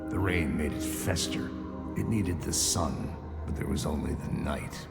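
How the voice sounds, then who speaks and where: An actor playing a weary, brooding hardboiled detective in a game, speaking in a slow, grim deadpan with a gravelly voice. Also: male voice, exaggerated voice. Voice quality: very deep, gravelly. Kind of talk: noir monologue and game drama dialogue. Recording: roomy echo under loud music.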